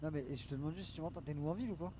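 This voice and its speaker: A man's voice: fairly high pitch, rapid-fire, mellow, a little hiss or hum. A man chatting casually in an online roleplay game, slangy and insistent, often repeating his questions. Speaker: streamer trolling players in an online roleplay game.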